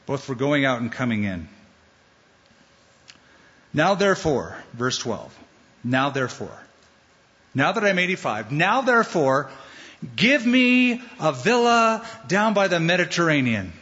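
A man preaching a sermon as pastor, animated and playful, acting out the voices he quotes. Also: a little high, unhurried, pauses often. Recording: dull sound in a large hall with long reverb.

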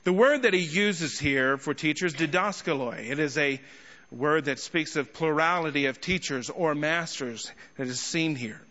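A man preaching an expository sermon to a congregation, speaking emphatically with rhetorical questions and deliberate pauses. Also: fairly high pitch, pushed and bright. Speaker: guest preacher and teacher. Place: large indoor church hall. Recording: echoey large hall, slightly muffled sound.

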